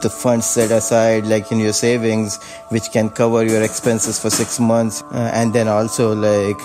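40s guy answering a poll, hesitant, lots of fillers, flat pitch. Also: unhurried, music in the background. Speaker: poll respondent.

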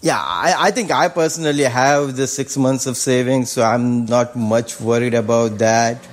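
A man's voice: mid-range voice, steady tone, moderate pace, slight background noise. A man in his forties, a poll respondent, speaking hesitantly with many fillers. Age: 40s.

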